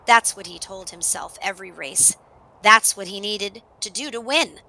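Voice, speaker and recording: A woman narrating a children's story in an expressive read-aloud style, with dramatic emphasis on action words. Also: mid-range voice, normal speed, vocal fry, poor audio.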